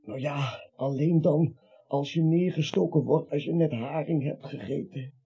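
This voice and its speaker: An irritable dying man in an absurd radio comedy, wry to the end. The voice is very raspy and mellow, recorded dull and lo-fi. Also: a little high, unhurried, exaggerated voice. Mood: weak, testy. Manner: testy, wry. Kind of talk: absurd comic radio play acting.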